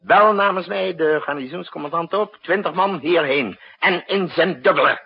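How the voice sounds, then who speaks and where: An actor playing a brisk, commanding president who gives curt military orders in a 1960s Dutch radio drama. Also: male voice, a little high, heavily acted. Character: commanding, brisk male president directing a night-time military crisis. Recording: muffled, husky-sounding old radio broadcast recording.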